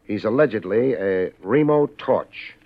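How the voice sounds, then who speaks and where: An American actor playing a wry, laid-back but tough private investigator in a 1950s radio drama. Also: male voice, lowish voice, expressive, unhurried. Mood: brisk, questioning. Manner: laid-back, wry, hard-boiled delivery. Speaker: lead actor and narrator. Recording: muffled old radio recording, music behind.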